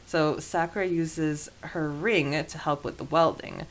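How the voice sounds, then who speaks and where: A woman's voice: low-pitched, a little slow, low-quality recording. A woman co-hosting a podcast in a casual, chatty and enthusiastic way about a book. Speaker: podcast co-host.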